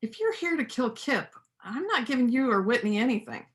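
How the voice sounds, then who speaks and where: A woman in an amateur reader's theater play, acting a steadfast, principled small-town doctor who is quietly defiant. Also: on the high side, big pitch swings, brisk.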